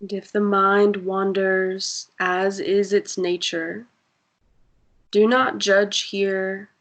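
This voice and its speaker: A woman guiding a breathing meditation in a soft, soothing voice with long pauses. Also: medium pitch, little pitch movement. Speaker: meditation guide.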